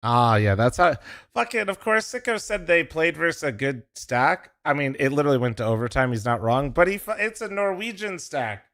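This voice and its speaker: A man's voice: fairly high pitch, animated, moderate pace, fairly bright tone. A young man streaming live, chatting casually with his viewers and swearing as he teases a friend. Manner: profane, teasing, rambling. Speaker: streamer co-streaming an esports match. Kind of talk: casual livestream chat.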